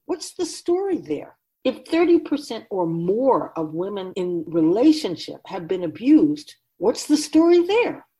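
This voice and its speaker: A woman's voice: lowish voice, warm tone. A woman playing a wise otherworldly keeper of souls in a radio drama, teaching humanity in an emphatic, oracular manner with rhetorical questions. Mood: earnest, urging. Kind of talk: radio drama dialogue, lecturing.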